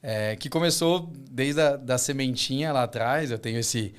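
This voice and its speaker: A man's voice: mid-range voice, normal speed, clean tone. Man with a slight Israeli accent. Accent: slight Israeli accent in Portuguese. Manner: enthusiastic, repeats words while thinking. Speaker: podcast guest, startup co-founder. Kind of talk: casual interview chat.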